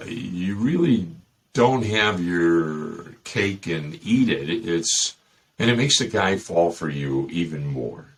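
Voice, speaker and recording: A man with a Chicago accent and a very low, husky voice gives dating advice in a loose, rambling way. He is middle-aged and keeps tossing in joking asides. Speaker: host. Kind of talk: casual dating advice talk.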